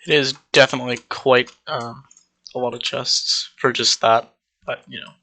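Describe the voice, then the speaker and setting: Young man, bright tone, slightly congested, rambling casual walkthrough, desk mic. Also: mid-range voice, normal speed. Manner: rambling, relaxed, many ums. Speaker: Minecraft server player.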